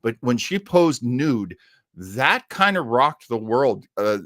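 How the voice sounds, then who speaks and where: A man's voice: medium pitch, big pitch swings, takes it slowly, fairly bright tone, dry recording. Middle-aged man, dry close sound. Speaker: show host. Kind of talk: casual off-the-cuff commentary.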